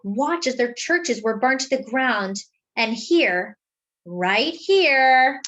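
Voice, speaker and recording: A woman reading a children's picture book aloud, with dramatic, deliberate phrasing and drawn-out words. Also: on the high side, lively intonation, fairly bright tone, muffled recording. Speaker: children's story reader.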